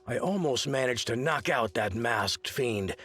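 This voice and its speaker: A man's voice: medium pitch, lively intonation, unhurried, a bit bright, breathy edge. A man playing a confident, reassuring detective in a video game, with an assured theatrical delivery. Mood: brisk, reassuring. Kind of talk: theatrical game cutscene acting.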